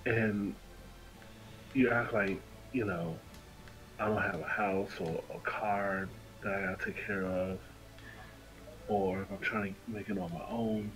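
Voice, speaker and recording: A man's voice: lowish voice, fairly even intonation, a little slow, pauses often, a bit bright, soft background music, poor audio. A man in a casual voice chat, speaking bluntly and with a griping manner.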